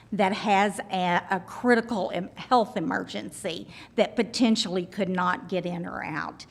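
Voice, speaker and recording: A woman, a local resident, addressing a zoning board loudly and emphatically, appealing directly to its members. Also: mid-range voice, in a large hall. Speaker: resident speaking at a public hearing. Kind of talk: public comment at a zoning meeting.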